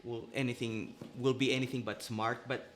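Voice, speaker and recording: A man from the Philippines, hosting a casual podcast chat, animated and quick to laugh. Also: mid-range voice. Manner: animated, laughing, restarts a lot. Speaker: podcast host.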